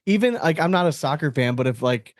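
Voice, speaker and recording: A man's voice: a little high, lively intonation, fairly quick, no echo, studio quality. An American man hosting a podcast in casual, slangy chat, talking to the others like buddies. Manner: slangy, buddy-like. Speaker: podcast host.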